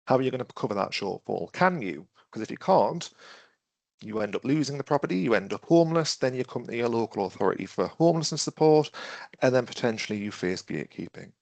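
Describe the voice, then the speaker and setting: Male trainer, gravelly, a bit of a cough, dry close sound, chatty and questioning on a video call. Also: medium pitch, lively intonation, fast talker, a little mellow, dry recording. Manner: conversational, thinks aloud with restarts, asks the audience questions. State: has a cough and is losing his voice. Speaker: webinar trainer. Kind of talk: interactive online training talk.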